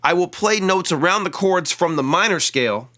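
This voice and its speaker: A man's voice: high voice, animated, moderate pace, pushed and bright. A man giving a casual lap steel guitar lesson. He chats as he plays and counts out the scale degrees of each lick. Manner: chatty, explains while playing, counts out scale degrees. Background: lap steel guitar playing.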